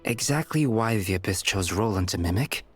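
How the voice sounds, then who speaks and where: An actor playing a learned scholar who explains things calmly, like a lecture. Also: male voice, fairly low pitch, moderate pace, breathy edge, soft background music. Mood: lecturing.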